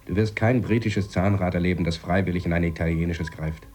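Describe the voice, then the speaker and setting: A man's voice: low voice, steady tone, a little fast, darkish tone, natural voice. An actor playing a sardonic, worried astronaut who monitors his partner in a German science-fiction radio play, speaking in a dry, sceptical, pressing way. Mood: concerned, sardonic.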